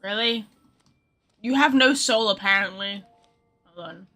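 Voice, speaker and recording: A woman's voice: mid-range voice, a little slow, sharp tone, faint music behind. A woman giving casual live game commentary as a streamer, with a blunt, sarcastic manner. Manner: reacts bluntly to dialogue, sarcastic.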